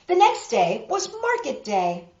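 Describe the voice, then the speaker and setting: A woman reading a picture book aloud to children, expressive and dramatic, pausing for asides to show the pictures. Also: a little high, a little hiss or hum. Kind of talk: animated children's story reading with asides to viewers. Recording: roomy, dull lo-fi web video.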